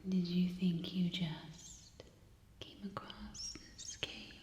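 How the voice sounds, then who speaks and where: Woman playing a slow, whispering, mysterious alien telepath. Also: fairly low pitch, level tone, mellow. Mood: suspicious, menacing. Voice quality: breathy whisper. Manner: slow, deliberate, close-up delivery. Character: mysterious telepathic alien cave dweller, commanding yet soothing woman. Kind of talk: sci-fi ASMR roleplay. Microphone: close binaural microphone.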